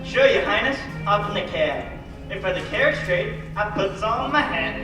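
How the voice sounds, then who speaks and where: Young man as animated small-town fellow, echoey hall. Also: high-pitched, normal speed. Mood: jovial. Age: young adult. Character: earnest, kindly small-town men: worried father, cabbie. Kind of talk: old-fashioned radio play acting. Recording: echoey hall, distant mic, music behind.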